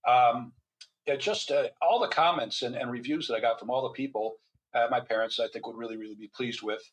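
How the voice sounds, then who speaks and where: A man's voice: mid-range voice, brisk, rough recording. An older man speaking as an interview guest, telling anecdotes in a rambling, conversational way. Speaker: guest author and physician.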